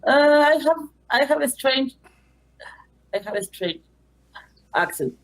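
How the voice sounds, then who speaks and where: A woman with a Mexican accent, a native Spanish speaker, speaking English as a documentary filmmaker and teacher in an interview. She talks in an earnest, halting way with many fillers. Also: high-pitched, lively intonation, low-quality recording. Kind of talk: remote interview.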